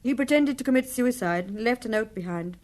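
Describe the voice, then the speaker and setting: An actress playing a detective's inquisitive, sympathetic wife in a radio drama, curious and expressive. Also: female voice, on the high side, brisk, natural voice.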